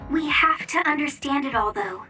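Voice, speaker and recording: Very high, girlish earnest game heroine. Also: animated, normal speed, pushed and bright, recorded from a distance, faint music behind. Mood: overwhelmed, determined. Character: earnest, overwhelmed but determined girlish young woman. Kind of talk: English video game voice acting.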